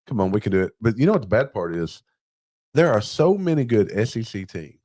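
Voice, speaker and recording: A man's voice: on the low side, sing-song, a little fast, a little mellow. A man hosting a live podcast in an informal, conversational way, bantering casually. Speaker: podcast host.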